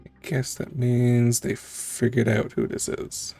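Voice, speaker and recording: Casual male streamer with creaky voice. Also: mid-range voice, level tone, slow, mellow, soft background music. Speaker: streamer playing and reacting to a game. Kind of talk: casual commentary.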